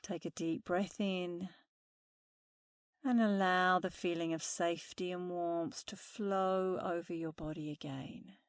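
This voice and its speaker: A woman meditation instructor speaking softly and soothingly through a guided meditation. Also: lowish voice, steady tone, smooth, no echo, studio quality. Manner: slow, soothing, soft-spoken guidance.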